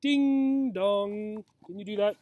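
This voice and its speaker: Slow-talking man reading to young children. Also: sits high, a little mellow, no echo, studio quality. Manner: simple, encouraging talk addressed to young children. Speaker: teacher reading a picture book aloud. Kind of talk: children's read-aloud. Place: outdoors in a grassy yard. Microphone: camera or laptop mic at about arm's length.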